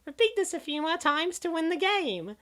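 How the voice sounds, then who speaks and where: A woman's voice: very high voice, big pitch swings, moderate pace, a bit bright, heavily acted. A woman voicing a stubborn, exasperated young female gamer in a comic dub, complaining in long rattled-off runs.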